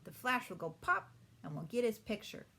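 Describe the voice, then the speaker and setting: Woman voicing an eager, high-pitched little boy. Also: moderate pace, creaky, husky, heavily acted. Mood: self-important. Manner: excitable, boyish exclamations. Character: eager young boy, excitable little brother.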